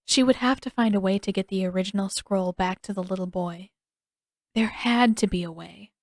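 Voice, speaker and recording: A woman's voice: medium pitch, normal speed, a little mellow, dry recording. Woman narrating a story, very dry sound. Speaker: audiobook narrator.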